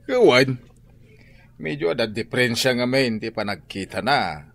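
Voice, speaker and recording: A man's voice: medium pitch, animated, moderate pace, gravelly, a bit bright. A man with a Filipino accent playing a chatty gossip in a radio drama. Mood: gossipy.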